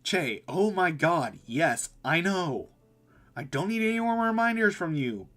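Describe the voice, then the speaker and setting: A man's voice: high-pitched, sing-song, unhurried, a bit bright, voice pushed up, full character voice. A man voicing a stressed, snappy young woman who runs a cafe, irritable and blunt, in a visual novel. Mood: exasperated, defensive. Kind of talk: visual novel dialogue read aloud.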